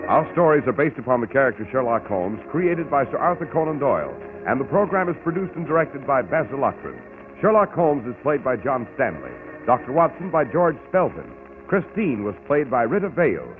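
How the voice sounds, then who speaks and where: Brief: formal male announcer, lively inflection, tinny radio, organ under. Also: mid-range voice, brisk. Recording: old radio broadcast with clear organ music.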